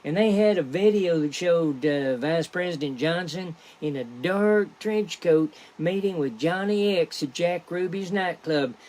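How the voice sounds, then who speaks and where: A man voicing an excitable, gullible, earnest conspiracy buff in a comedy podcast script. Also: fairly high pitch, measured pace, hardly any pauses, voice pushed up, natural voice. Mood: earnest, excited.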